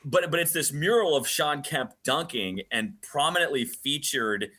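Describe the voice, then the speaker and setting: Bright-voiced man chatting as guest. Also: fairly high pitch, lively intonation, moderate pace. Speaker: hockey radio play-by-play announcer, guest. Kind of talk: casual interview chat.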